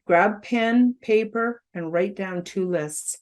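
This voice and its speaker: Loud, outspoken older woman presenter. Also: mid-range voice, takes it slowly. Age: about 70. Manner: conversational with frequent fillers, emphatic rises. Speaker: host giving a self-help presentation. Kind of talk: casual spoken presentation to camera.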